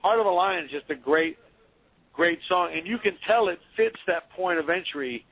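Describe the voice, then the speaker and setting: A man's voice: high voice, moderate pace, narrow, tinny audio. A man from North Carolina, a metal band member, chatting casually in a radio interview and telling stories. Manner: storytelling, animated impressions.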